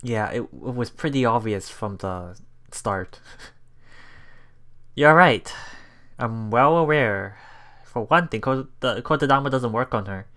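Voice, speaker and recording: Slow-talking, halting let's play host. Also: medium pitch, lively intonation, fairly bright tone. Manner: halting, often chuckling. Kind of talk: casual game commentary, reading dialogue aloud. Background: visual novel background music.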